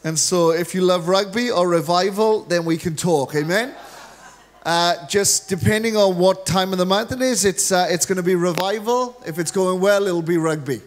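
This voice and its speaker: A middle-aged Welsh man preaching a lively, joking sermon from a large church stage into a handheld mic. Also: high-pitched, normal speed. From Wales. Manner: energetic, joking preacher, gestures freely while pacing the stage. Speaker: guest preacher.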